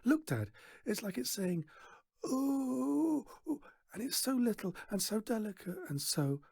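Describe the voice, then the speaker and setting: A man voicing a young girl full of soft wonder in a storybook reading. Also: very high voice, very animated, a little slow, gravelly, breathy edge, no room sound, clean studio sound, voice pushed up, full character voice.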